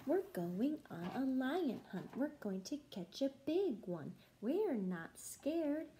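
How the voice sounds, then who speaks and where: A young woman, a music teacher, reading a children's picture book aloud in a rhythmic, sing-song, deliberate chant. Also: sits high, big pitch swings, warm tone, slight background noise. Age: young adult. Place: small room at home, indoors.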